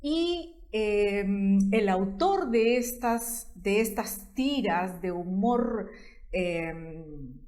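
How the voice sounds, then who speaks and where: A woman host, speaking in a measured way and filling with "diríamos". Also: medium pitch, animated. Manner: warm-thanking, measured, uses "diríamos". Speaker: program host. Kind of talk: slow hosting and interviewing.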